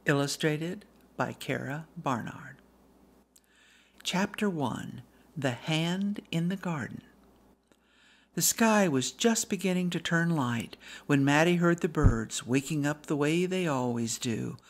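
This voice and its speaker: A woman reads a children's story aloud as a storyteller, in an expressive, unhurried way with dramatic pauses. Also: low voice. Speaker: storybook reader.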